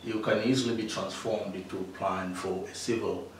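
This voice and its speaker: A man's voice: low voice, fairly even intonation, moderate pace. Man, middle-aged, from Tanzania, hesitant, full of fillers, far from the mic, very echoey room. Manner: hesitant, many fillers and restarts. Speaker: interview guest, mining engineer. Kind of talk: casual interview answers. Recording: echoey room, distant mic, faint noise.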